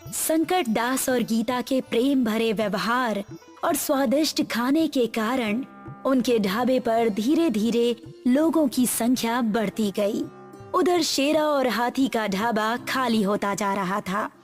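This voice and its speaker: A woman's voice: a little high, takes it slowly, soft background music. A woman narrating a scripted moral story as a storyteller.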